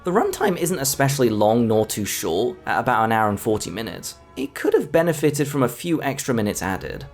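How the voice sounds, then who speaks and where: A man's voice: medium pitch, big pitch swings, fairly quick, few pauses, faint music behind. A man narrating a scripted film review as the host of a video essay.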